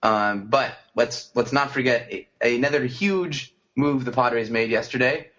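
A man's voice: medium pitch, measured pace, a bit bright. A man co-hosting a casual sports podcast, talking loosely with many fillers and restarts. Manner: conversational, frequent fillers and restarts. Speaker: podcast co-host. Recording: dull lo-fi podcast audio.

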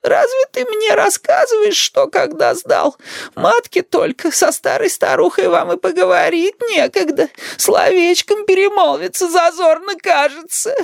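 Very shrill, querulous old grandmother. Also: expressive, vocal fry, no echo, clean studio sound, heavily acted. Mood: querulous, complaining. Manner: plaintive drawn-out shrieking and moaning. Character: querulous, manipulative old grandmother, wailing and suspicious. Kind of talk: dramatized audiobook reading.

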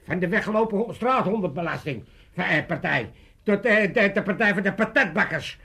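High-voiced excitable old father. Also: moderate pace, gravelly, husky, full character voice. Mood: excited, pompous. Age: older. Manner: rambling, stammering, pompous. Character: excitable self-important old Amsterdam father, dreaming of politics. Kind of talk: 1980s comic radio play acting.

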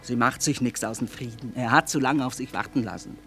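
A woman's voice: rumbling low, a little fast, soft background music. A woman playing a shrewd, blunt middle-aged trader and mother in a radio drama, with plain folksy, businesslike speech. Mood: rueful. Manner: sharp-tongued, businesslike, plain folksy phrasing. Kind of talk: theatrical radio drama acting.

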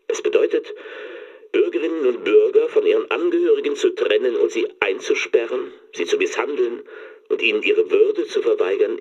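An actor playing a cold, emphatic authoritarian official making a political statement in a husky voice, in a radio collage. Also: male voice, mid-range voice, a little mellow. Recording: dull, radio-like lo-fi sound.

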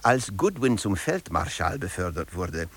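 A man's voice: deep voice, very animated, a little slow, husky, exaggerated voice. An actor playing a management theorist who narrates his principle in a formal, didactic radio lecture.

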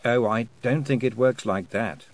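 A man's voice: medium pitch, moderate pace, close to an everyday voice. A man voicing an apologetic, dry-witted talking toad in a storybook, fretful and hesitant.

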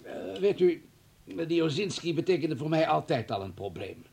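An actor in a radio play playing a fussy, deferential hospital administrator who talks in a wordy, flustered, obliging way. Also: male voice, a little high, sing-song, normal speed, vocal fry, a little mellow, breathy edge, full character voice. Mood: self-justifying. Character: fussy, deferential male hospital administrator, eager to justify himself.

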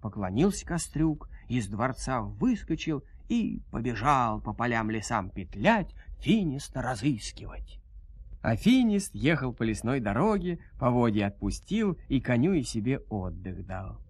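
A man narrating a dramatized fairy tale in an expressive, folksy storytelling manner. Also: mid-range voice, a little slow, husky, dry recording.

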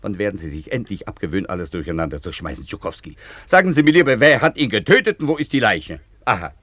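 An actor playing a sardonic, irritable senior examining magistrate with a gravelly, husky voice and a mocking, commanding manner in a 1950s radio drama. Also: male voice, on the low side, animated, fast talker, a bit bright, no room sound. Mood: sarcastic.

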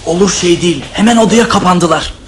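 A man's voice: high-pitched, brisk, background noise, lightly acted. A man voicing a nervous, sheepish young son. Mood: anxious. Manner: hushed and anxious, then sheepish.

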